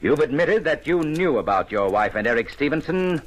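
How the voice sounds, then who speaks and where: An actor playing a shrewd, relentless police inspector, questioning deliberately and pointedly in 1940s radio detective style. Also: male voice, a little high, big pitch swings. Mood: accusing.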